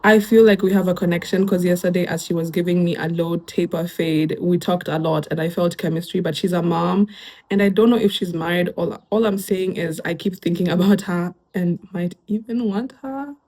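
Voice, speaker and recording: A woman's voice: on the low side, steady tone, fairly quick, a little mellow. A young woman with a Kenyan accent, chatting casually as she reacts to online posts and mixing in Swahili phrases. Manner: chatty, switches into Swahili phrases, lots of fillers.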